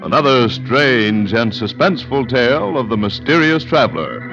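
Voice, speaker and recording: A man's voice: medium pitch, lively intonation, moderate pace. An American man announcing a vintage radio program in a formal, dramatic scripted style. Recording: muffled old radio with organ music.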